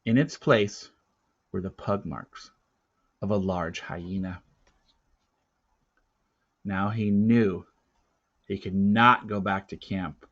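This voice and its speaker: A man reading a storybook aloud as a dramatic narrator, with deliberate, theatrical phrasing and a casual touch. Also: low-pitched, fairly even intonation, a bit bright, lo-fi sound. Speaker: storybook reader.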